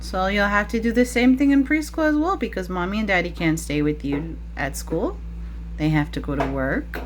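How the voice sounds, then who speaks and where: A woman's voice: medium pitch, lively intonation, moderate pace, noisy background. A woman preschool teacher talking to young children during a picture-book read-aloud, with frequent questions in a teacherly way.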